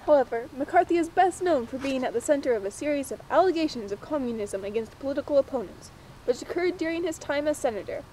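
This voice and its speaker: High-pitched young woman presenting. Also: lively intonation, quick pace, mellow, slight background noise, low-quality recording. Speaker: presenter and interviewer. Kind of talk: scripted mock documentary narration.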